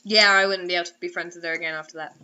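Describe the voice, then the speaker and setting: A woman's voice: on the low side, steady tone, racing, bright, forceful tone, clear, resonant tone, dull audio. A woman co-host giving casual, incredulous and sarcastic joking commentary on a story. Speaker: co-host reacting to a story reading.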